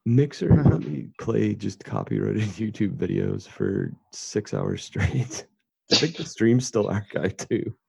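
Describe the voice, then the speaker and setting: A husky man with a heavy gravelly rasp, his voice worn and hoarse, chatting loosely and laughing between lines. His low-ish voice swings widely, and it sits on a dull, dry lo-fi mic. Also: very animated, slow pace, a little mellow, no echo. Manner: laughs often, loose and joking. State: losing his voice. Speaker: co-host. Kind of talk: casual chat.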